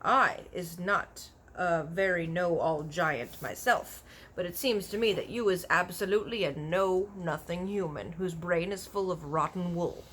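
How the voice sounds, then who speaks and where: Very old male storybook giant, played by a woman: earnest, blustery, mangled grammar, emphatic drawn-out words, forceful tone. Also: fairly low pitch, a little hiss or hum. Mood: indignant.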